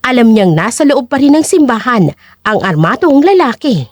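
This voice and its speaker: A woman's voice: a little high, very animated, normal speed, no room sound, studio quality. A woman narrating an audio drama in a scripted, dramatic storytelling style.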